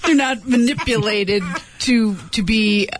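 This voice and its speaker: A woman hosting a radio interview, curious and quick to laugh. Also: on the high side, noisy background. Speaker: radio host.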